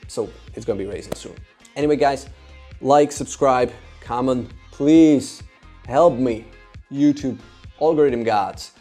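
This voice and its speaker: A man with a Czech accent, a psychologist talking to his viewers in an energetic, rambling way. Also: medium pitch, a little slow, soft background music. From Czech Republic. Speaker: psychologist and YouTuber. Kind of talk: casual talk to camera.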